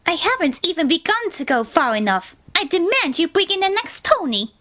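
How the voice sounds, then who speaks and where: A woman's voice: very high voice, big pitch swings, normal speed, pushed and bright, heavily acted. A woman voicing a haughty, tyrannical pony princess with a pompous, demanding manner in a dramatic story reading. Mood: imperious. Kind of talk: dramatic fan fiction reading.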